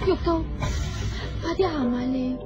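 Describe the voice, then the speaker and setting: An actress playing a caring, spirited sister-in-law in a radio drama, expressive and theatrical, with a Hong Kong Cantonese accent. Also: female voice, sits high, unhurried, lo-fi sound, over music. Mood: tender, urging.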